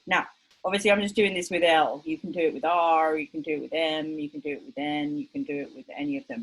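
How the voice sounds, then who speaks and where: A woman's voice: low voice, rough recording. A woman with an Estuary English accent from England giving an online linguistics lecture in a chatty, self-deprecating way, with many fillers. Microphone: video call.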